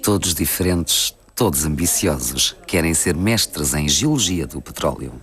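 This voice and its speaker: Deep-voiced Portuguese male documentary narrator. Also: animated, a little slow, a bit bright. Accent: European Portuguese. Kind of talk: scripted narration.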